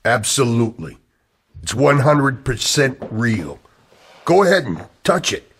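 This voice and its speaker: An actor playing an agitated, earnest man who believes in a dark conspiracy, in a radio-style drama. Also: medium pitch, big pitch swings, a little slow, slight background noise. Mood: grave, insistent. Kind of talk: scripted radio-play acting.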